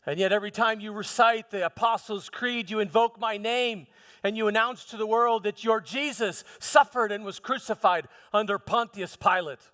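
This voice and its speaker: A man playing a proud, defensive Roman governor in a live church monologue, declaiming emphatically with halting repeated words. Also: high-pitched, pushed and bright. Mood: defensive, indignant. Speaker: preacher performing a dramatic monologue. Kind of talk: first-person theatrical church monologue. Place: large hall, live church performance. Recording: long hall reverb.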